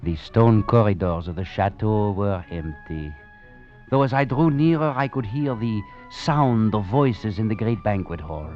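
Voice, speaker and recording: An actor playing a bitter, sardonic French fugitive, brooding and ironic, in a 1940s radio drama. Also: male voice, on the low side. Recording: dull vintage radio recording.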